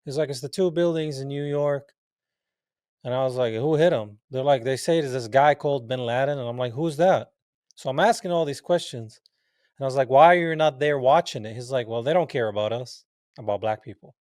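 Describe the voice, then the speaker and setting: A man's voice: medium pitch, brisk, no room sound, studio quality. A man in his forties from Palestine, telling stories as an interview guest and acting out the dialogue with frequent laughs. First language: Arabic. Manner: animated, acts out quoted dialogue, laughs often. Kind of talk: casual interview storytelling.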